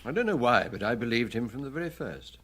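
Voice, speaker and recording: Wry police detective, animated delivery. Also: male voice, medium pitch, breathy edge. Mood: pensive. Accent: British. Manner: wry, deadpan, deliberate. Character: dry, wry, unhurried male police detective chief. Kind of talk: radio drama acting.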